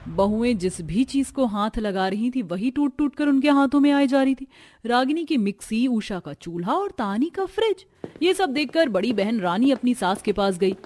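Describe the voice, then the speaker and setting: A woman's voice: on the high side, sing-song, moderate pace, darkish tone, no room sound. A woman storyteller reading scripted narration for a cartoon family story.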